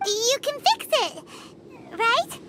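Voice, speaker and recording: A woman voicing a small, childlike, excitable fairy companion in a video game, squeaky and eager. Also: slow, noisy background, exaggerated voice. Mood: coaxing. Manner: exclamatory, eager, third-person self-reference.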